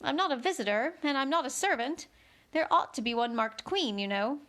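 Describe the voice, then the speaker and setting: Woman acting a young storybook girl, high voice, puzzled, indignant, impatient. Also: lively intonation, a little fast, a bit bright. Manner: exclaiming, indignant.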